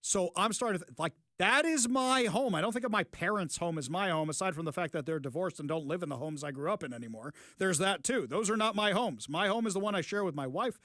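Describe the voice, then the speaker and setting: A man's voice: high voice, animated, fast, hardly any pauses, fairly bright tone, dry recording, studio quality. A middle-aged man from Seattle hosting a talk radio show, delivering combative, emphatic commentary in punchy short declarations. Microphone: studio microphone.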